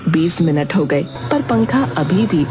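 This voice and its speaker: A woman's voice: lowish voice, animated, takes it slowly, background noise. A woman reading scripted story narration as the narrator.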